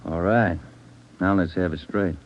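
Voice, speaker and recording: A dull vintage radio recording of a male police detective. His voice is on the low side, firm and fatherly. He lectures and needles like a seasoned partner in a crime drama. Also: steady tone, a little slow. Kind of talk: old-time radio crime drama acting.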